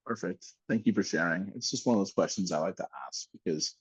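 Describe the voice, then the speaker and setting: A man hosting an interview show, upbeat and joking, with quick interjections. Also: deep voice, husky, no room sound. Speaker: podcast host.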